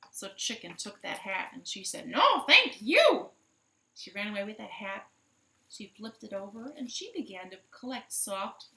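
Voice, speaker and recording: A woman's voice: medium pitch, fairly bright tone. A woman hosting storytime for young children, speaking expressively in simple phrases and questions. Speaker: children's library storytime host. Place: living room at home. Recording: roomy, echoey home recording.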